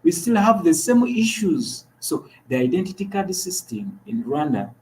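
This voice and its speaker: A man's voice: high-pitched, big pitch swings, normal speed, a little mellow, low-quality recording. A man from Uganda co-hosting a talk show, giving earnest political commentary and often repeating words as he restarts.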